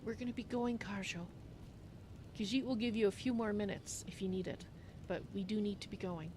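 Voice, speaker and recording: A woman's voice: medium pitch, steady tone, fairly quick, background noise, lightly acted. A woman voicing a polite, chatty female cat-folk adventurer in improvised fantasy game roleplay, referring to herself in the third person. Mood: casual, friendly. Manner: speaks of self in third person. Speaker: roleplay streamer.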